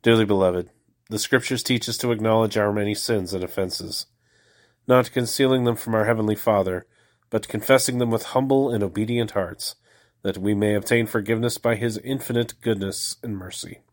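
A man with a head cold, hosting a daily prayer podcast and reading the liturgy in a calm, measured way. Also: on the low side. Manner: calm, measured, devotional reading with slow pauses.

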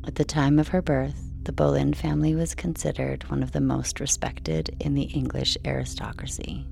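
Woman, very deep voice, creaky edges, measured soothing sleep narration, faint music underneath. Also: little pitch movement. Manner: measured, soothing, unhurried delivery. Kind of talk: slow scripted bedtime narration. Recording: soft music behind.

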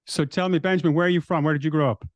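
Man asking halting interview questions. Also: fairly high pitch, fairly even intonation, no echo. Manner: asks questions in halting, restarted phrases. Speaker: podcast host.

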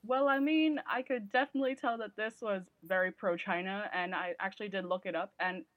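A young woman from Hong Kong with a Hong Kong English accent, a guest answering casual questions in a relaxed, self-deprecating way. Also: mid-range voice, fairly quick, no room sound. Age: young adult. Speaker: guest, film student. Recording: dull, dry remote call.

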